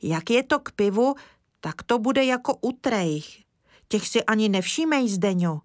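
A woman's voice: medium pitch, expressive, no echo, pitched above the performer's own voice, lightly acted. A cheeky little village boy played by a woman chatters in a raised, childlike voice. He talks eagerly, reciting his lines like a storybook child, recorded close and dry.

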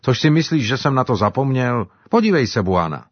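Jubilant devout villager, played by a man, high and eager in tone, husky and dull-sounding. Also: no room sound. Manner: excited, exclaiming. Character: cheerful grateful African man, warmly devout.

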